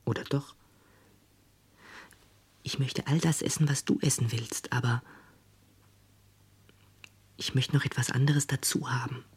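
Tender brooding man, soft, partly whispered, pensive, intimate radio play. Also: medium pitch, fairly even intonation, slightly rough. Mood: pensive, yearning. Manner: hushed, slow, pensive. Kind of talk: German radio play acting.

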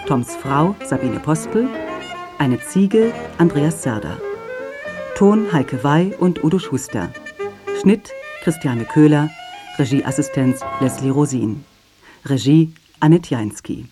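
An announcer reading scripted radio play credits in a measured, formal way. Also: mid-range voice, expressive. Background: plucked string music.

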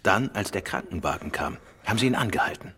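An actor playing a quick-witted, commanding male detective in a German radio play. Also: sits low, lively intonation, background noise. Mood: urgent, deducing.

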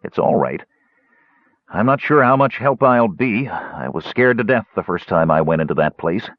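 Storybook warrior, by a male reader: big, weary, gruff, low muttering voice. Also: expressive, moderate pace, no echo. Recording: dull, dry lo-fi recording.

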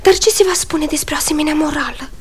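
An actress playing a coquettish, crafty young sweetheart in a radio comedy, arch and teasing with a playfully prim manner. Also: female voice, high voice, natural voice. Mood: arch, mock-prim. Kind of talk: comic radio theatre acting.

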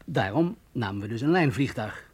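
An actor playing an anxious, cynical security chief in a radio drama, with a nervy, emphatic delivery. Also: male voice, mid-range voice, expressive, normal speed, husky. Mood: explaining, tense. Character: anxious male security chief, wound tight, cynical strategist.

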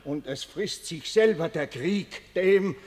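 Slow, troubled old elder. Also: male voice, on the high side, lively intonation, darkish tone. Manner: formal declamation. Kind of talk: stage-like verse radio drama. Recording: long hall reverb.

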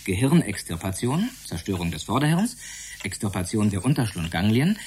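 An actor playing a polite, earnest young research assistant in a German radio drama, precise and deferential. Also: male voice, lowish voice, sing-song, moderate pace, a little mellow, a little hiss or hum. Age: young adult. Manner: precise, deferential, hesitant when embarrassed.

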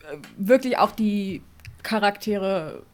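A woman co-hosting a casual podcast chat, measured, with hesitant starts. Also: mid-range voice, expressive. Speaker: podcast co-host.

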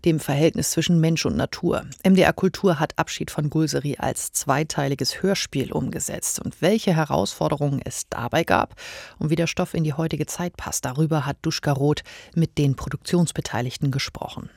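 A man's voice: on the high side, normal speed, gravelly, darkish tone, no echo. A man presenting a radio culture programme in scripted narration, speaking in a studio.

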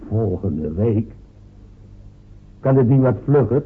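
A slow, wheedling poor villager. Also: male voice, mid-range voice, animated, breathy edge. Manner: hesitant, wheedling. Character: poor male villager, father of six, fond of beer. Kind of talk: radio drama acting. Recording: humming, phone-like radio recording.

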